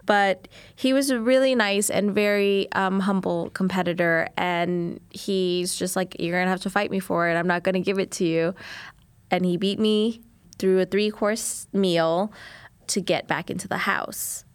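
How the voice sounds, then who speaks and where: A woman's voice: mid-range voice, steady tone, measured pace, smooth, no room sound. A young woman from New Orleans, a chef, answering interview questions candidly and laughing easily. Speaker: chef, cooking competition contestant.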